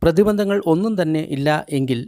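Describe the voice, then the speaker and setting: Malayali guy reading out meditation instructions, bit higher voice, short phrases, pretty dry sound. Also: brisk, warm tone, dry recording. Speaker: meditation guide reading a translated book. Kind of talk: guided meditation instruction, read and translated aloud.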